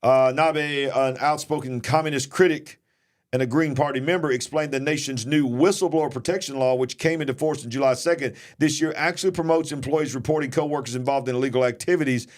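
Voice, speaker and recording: A man's voice: mid-range voice, steady tone, a little fast. Southern guy hosting a live stream, chatty and jokey, folksy delivery. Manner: jokey, folksy, mocks fake accents. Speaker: host. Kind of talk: casual live-stream commentary.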